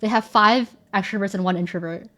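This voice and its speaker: A woman's voice: mid-range voice, fairly quick, a bit bright. A woman chatting casually on a live stream and reading out chat messages, her voice worn out and fading. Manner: chatty, reads chat aloud. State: losing her voice.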